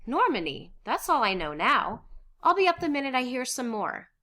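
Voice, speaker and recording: A woman reader playing an excited, urgent woman bringing great news in a wartime stage play. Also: sits high, moderate pace, voice pushed up, heavily acted. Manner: urgent, exclamatory.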